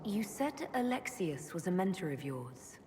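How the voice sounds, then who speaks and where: A calm, inquisitive fantasy game heroine. Her voice sits fairly low, with measured, direct phrasing. It sounds in a reverberant hall with clear ambient noise behind. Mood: calm, curious. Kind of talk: video game dialogue acting.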